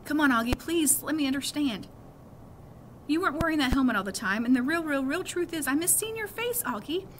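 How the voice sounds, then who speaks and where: A woman's voice: high voice, lively intonation, brisk, a bit bright, slight background noise, voice pushed up. A middle-aged woman voicing an affectionate, easygoing father in a storybook, coaxing and emphatic. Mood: pleading.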